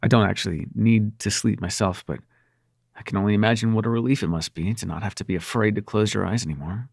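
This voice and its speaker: A man's voice: fairly low pitch, fast talker, creaky, no echo, clean studio sound. A man playing a cocky, sarcastic demon prince with a tender side in an intimate audio roleplay, speaking casually with teasing and swearing. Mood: tender, concerned. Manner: casual, sweary, teasing, hesitant when sincere.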